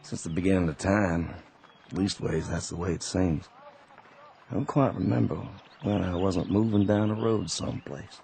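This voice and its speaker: A man voicing a weary Confederate soldier walking home, with a gentle, resigned drawl. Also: sits low, fairly even intonation, normal speed, vocal fry, a little hiss or hum. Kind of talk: radio drama acting. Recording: radio drama with music behind.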